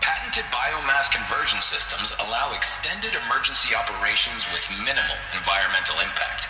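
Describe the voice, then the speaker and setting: An actor playing a slick corporate announcer in a video game, delivering a polished sales pitch for military robots. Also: male voice, lively intonation, brisk, natural voice. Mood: confident, promotional. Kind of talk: scripted advertising narration. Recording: echoey long reverb, distant, music behind.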